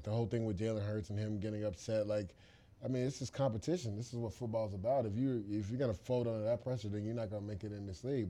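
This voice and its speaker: A man's voice: fairly low pitch, mellow. A man co-hosting a football podcast, giving emphatic, opinionated analysis in a casual, conversational way.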